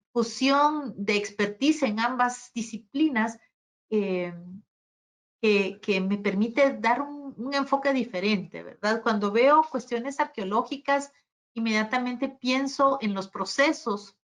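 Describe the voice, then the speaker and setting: Slow-paced Guatemalan woman, reflective and halting. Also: mid-range voice. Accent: Guatemalan Spanish. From Guatemala City, Guatemala. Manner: reflective, halting, often ends phrases seeking agreement. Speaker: guest speaker, archaeologist and gastronomy professional.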